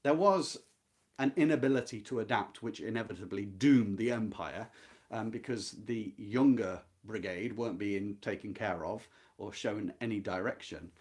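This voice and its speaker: A man explaining a novel to viewers as a readalong host, speaking emphatically and deliberately and repeating his key points. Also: lowish voice, darkish tone. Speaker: host of a book readalong, literary commentator.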